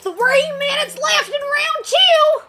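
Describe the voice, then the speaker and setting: A woman's voice: extremely high pitch, animated, slow, over loud music, exaggerated voice. An actress playing a brash, taunting woman at a baking contest in an audio comedy.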